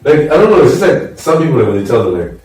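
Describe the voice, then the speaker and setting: A man's voice: mid-range voice, very animated, rapid-fire, darkish tone. A young man from Zimbabwe in a casual panel chat, talking in slang and mimicking other people, full of energy. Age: young adult. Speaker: panel guest. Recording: echoey room, mic at a distance, music underneath.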